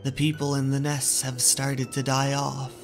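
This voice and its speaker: A man narrating in a measured, deliberate way, as the host of a horror story channel. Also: mid-range voice, monotone, over music.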